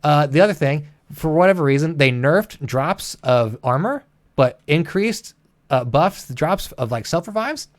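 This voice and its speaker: A man's voice: a little high, lively intonation, measured pace. A man co-hosting a gaming livestream, chatting casually with an exasperated, sweary, ranting manner.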